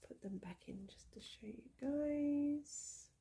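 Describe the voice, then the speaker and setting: A woman in her thirties, slightly hoarse from a cold, chatting casually with many ums as she shows her tarot decks. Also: high voice, takes it slowly, mellow. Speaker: psychic reader showing tarot decks. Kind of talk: casual show-and-tell chat.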